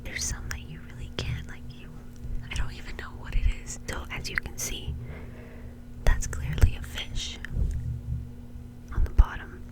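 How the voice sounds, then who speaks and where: A woman telling a story in a soft, breathy ASMR whisper, in a casual, chatty way. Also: moderate pace, long pauses, low-quality recording. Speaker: ASMR video host.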